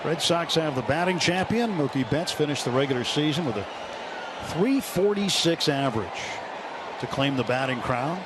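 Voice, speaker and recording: A man with a fairly high voice calls a baseball game live on the radio. He works as the play-by-play announcer, with clear stadium noise running behind him. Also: lively intonation, moderate pace. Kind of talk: live radio sports commentary. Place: open broadcast booth at a ballpark. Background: loud outdoor ballpark ambience.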